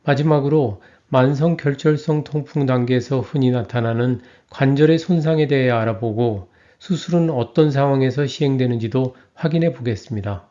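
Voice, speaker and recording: Man, health lecturer, scripted narration, mellow dark tone, slightly muffled recording. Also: medium pitch. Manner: even, measured reading.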